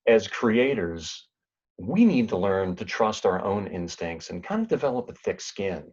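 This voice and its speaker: A man delivers reflective, motivational commentary in an earnest way. His voice is on the low side with lively rises and falls. The recording is slightly muffled. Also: measured pace, slightly rough. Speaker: presenter giving reflection.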